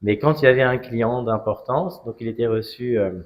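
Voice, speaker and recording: A man in his forties from Strasbourg, France, telling his story as an interview guest in a measured, hesitant way with frequent pauses. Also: medium pitch. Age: 40s. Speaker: interview guest, cigar brand ambassador. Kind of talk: conversational interview, personal story.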